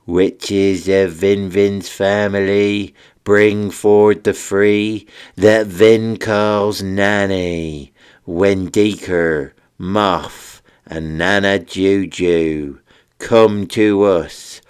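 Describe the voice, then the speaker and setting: A man's voice: low-pitched, fairly even intonation, darkish tone, smooth. A man voicing an obliging shark wizard in a theatrical manner for a children's bedtime story. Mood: grand, incanting. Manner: theatrical, incanting, drawn-out.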